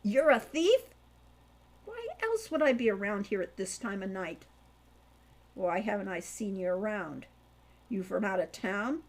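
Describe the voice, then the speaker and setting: A woman's voice: mid-range voice, lively intonation, a little slow, long pauses. A woman voicing an awkward, good-natured young male thief in an audiobook, slangy and folksy with a stammer. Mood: amazed, suspicious.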